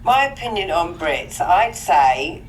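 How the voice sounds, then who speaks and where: A woman's voice: on the low side, very animated, slow, slight background noise, low-quality recording. A woman from Britain giving a frank, wry opinion in an interview. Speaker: street interviewee.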